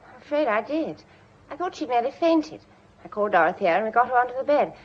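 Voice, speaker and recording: A woman's voice: a little high, very animated, quick pace, husky, muffled recording. An actress playing a worried, well-bred British woman of the household in a radio drama. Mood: anxious, candid.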